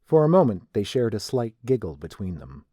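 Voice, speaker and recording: A man's voice: on the low side, very animated, normal speed, dark tone, dry recording, clean studio sound. A man narrating a scripted story.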